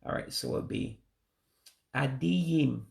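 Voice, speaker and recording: A man, a native English speaker, slowly and carefully teaching greeting phrases he is still learning, sounding them out and correcting himself. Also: medium pitch, creaky, darkish tone. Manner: slow, careful, tentative pronunciation with self-corrections. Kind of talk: self-taught language lesson.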